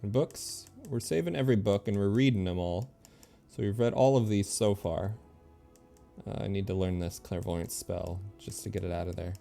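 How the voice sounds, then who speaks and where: A low-voiced man with a lot of fry and a mellow tone, streaming a game. He thinks aloud casually and easily, with faint game music behind him. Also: moderate pace. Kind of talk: casual play-by-play chat, reading game text aloud.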